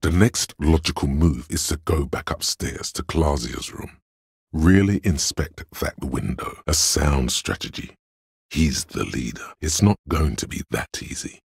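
A man voicing the cold, dry inner logic of a detective in a video game, measured and analytical, with a gravelly, husky delivery. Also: very deep voice, lively intonation, no echo, full character voice. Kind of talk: scripted video game voice acting, second-person narration.

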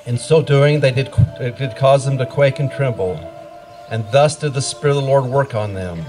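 A man's voice: mid-range voice, moderate pace, a little mellow. A middle-aged man reading scripted scripture narration into a handheld microphone at an outdoor pageant. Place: outdoor wooded stage. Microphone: handheld microphone through PA. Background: music playing.

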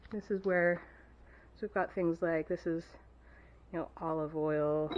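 A woman walks through her wooden cabin kitchen and narrates it in a casual, enthusiastic way, with plenty of ums along the way. She is recorded on a handheld camera mic, so the sound is a bit dull and lo-fi. A faint noise sits underneath. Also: fairly low pitch. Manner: chatty, filler-heavy, enthusiastic. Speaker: homestead vlogger giving a home tour. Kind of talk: casual home tour narration. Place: wood-paneled cabin kitchen, indoors.

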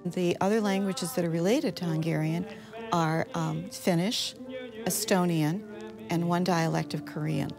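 A woman's voice: on the low side, steady tone, measured pace, vocal fry. A woman interviewed as a local historian, explaining in a lecturing manner. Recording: interview with music underneath.

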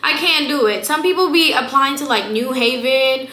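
A woman's voice: high-pitched, moderate pace, pushed and bright. A woman in her twenties with an American accent, a non-native English speaker, chatting casually and emphatically to camera as a slangy vlogger. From South Florida, immigrant from the Philippines. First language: a language other than English. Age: 20s. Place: small bare room indoors. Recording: echoey room.

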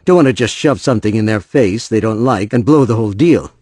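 A man's voice: lowish voice, lively intonation, brisk, darkish tone, no echo, clean studio sound. A man acting a frazzled, mild-mannered professional in an audio drama. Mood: apologetic.

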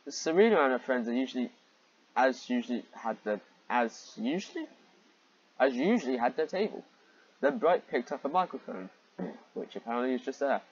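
Husky, exasperated man reading aloud. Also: medium pitch, lively intonation, normal speed, dry recording, lo-fi sound. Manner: exasperated, sweary, sarcastic. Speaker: reader and commentator of fan fiction. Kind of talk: reading fan fiction aloud with mocking asides.

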